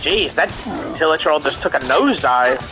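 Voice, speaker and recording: A man's voice: a little high, lively intonation, normal speed. A man giving casual, self-deprecating let's play commentary, chatting half to himself. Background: game music.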